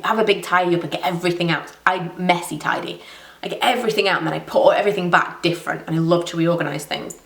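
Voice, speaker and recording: A woman's voice: lowish voice, fairly bright tone, echoey room, distant mic. A woman in her thirties with a stuffy cold, chatting casually and rambling through viewer questions in a home vlog. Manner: chatty, rambling, self-deprecating. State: blocked nose from a cold. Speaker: beauty vlogger.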